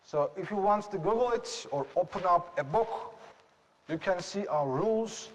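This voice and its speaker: A young man with a Turkish accent giving a slow, deliberate classroom presentation and asking rhetorical questions. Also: high-pitched, lively intonation, in a large hall, slight background noise, low-quality recording. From Turkey.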